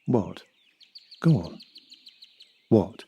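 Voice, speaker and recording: A man with a British accent playing a genial, self-deprecating house husband of fifty in an audio drama, casual and hesitant with a husky voice. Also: on the low side, sing-song, drawn-out words, mellow, muffled recording, a little hiss or hum, exaggerated voice. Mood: uneasy.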